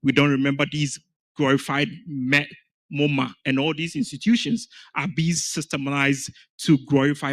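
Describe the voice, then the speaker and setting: A man from Ghana speaks as a guest on a conference panel. He tells his story earnestly and presses his points with emphasis. His voice sits at an ordinary middle pitch. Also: creaky. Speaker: panel guest, founder of an education organization. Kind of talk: panel conversation.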